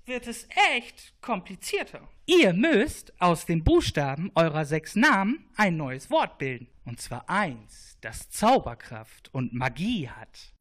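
A woman's voice: on the low side, very animated, slow pace, exaggerated voice. A woman voicing a bossy talking planet in a children's radio play, with a strange voice and a commanding, theatrical way of giving out tasks. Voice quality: strange, put-on voice.

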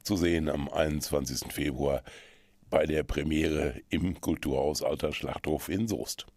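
A man's voice: a bass voice, unhurried, a little mellow, dry recording. A man presenting and interviewing as host of a culture magazine programme.

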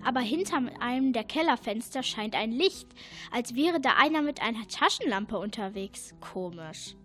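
A woman's voice: fairly high pitch, lively intonation, moderate pace, a bit bright, soft background music. A young female performer playing an impatient, easily exasperated schoolgirl in a children's radio play. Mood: annoyed, curious.

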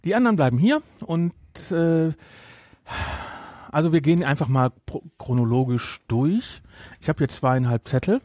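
A man's voice: a little high, lively intonation, normal speed, mellow, dry recording, studio quality. A middle-aged man hosting a podcast, talking casually and giving his opinions with emphatic repetition. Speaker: podcast host.

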